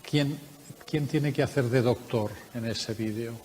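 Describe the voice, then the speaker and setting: A man with a Spanish accent from Spain, presenting a research project at a conference workshop in a measured, explanatory way with frequent hesitations. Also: medium pitch, a little mellow, big-room sound, slight background noise. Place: conference room.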